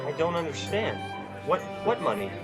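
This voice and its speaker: A man's voice: a little high, moderate pace. A man playing an overjoyed, grateful small-town father in a 1940s-style radio play, earnest and exclaiming. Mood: stunned, joyful. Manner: excited, earnest, stammering exclamations. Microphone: video-call microphone. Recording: loud music and crowd behind voice.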